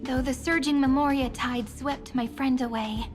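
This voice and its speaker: A woman voicing a gentle, curious young game heroine who muses softly and with playful politeness to herself. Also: high-pitched, fairly even intonation, moderate pace, over music, close to an everyday voice. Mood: musing, wary. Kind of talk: video game voice acting, musing to herself.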